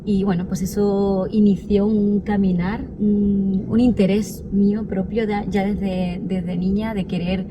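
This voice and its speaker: A woman in her forties, born in El Salvador, tells her personal story in an earnest, reflective way. Her voice sits at a middle pitch. Steady ambient noise runs behind her. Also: a little slow, few pauses. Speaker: interview guest. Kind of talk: personal testimony in conversation. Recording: noisy background ambience.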